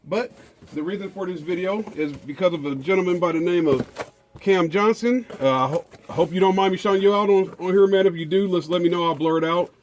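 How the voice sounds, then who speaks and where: A man's voice: sits high, a little fast, a little hiss or hum, low-quality recording. Rambling man in a garage. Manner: rambling, drawling, many fillers and restarts. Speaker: car audio vlogger. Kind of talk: casual unboxing chat.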